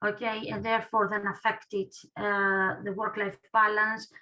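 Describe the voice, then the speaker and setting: A woman from Greece with a Greek accent, an academic presenting online in a halting way, often tagging phrases with "okay". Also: mid-range voice, flat delivery, bright, forceful tone, rough recording. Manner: halting delivery, often punctuated with "okay" as a tag. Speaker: academic researcher, guest speaker.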